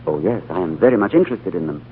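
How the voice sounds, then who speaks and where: A man playing a composed, thoughtful gentleman in a 1940s radio drama, urbane and reflective. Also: low-pitched, expressive, fast, slight background noise. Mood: polite. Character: composed, thoughtful gentleman diplomat, narrating his adventure. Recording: old narrow-band radio, music behind.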